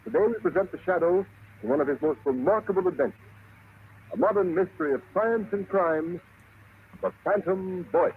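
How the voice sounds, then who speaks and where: A man's voice: high voice, moderate pace. An American man announcing a vintage radio program and its sponsor in an emphatic, formal, dramatic selling style. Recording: tinny, noisy vintage radio recording.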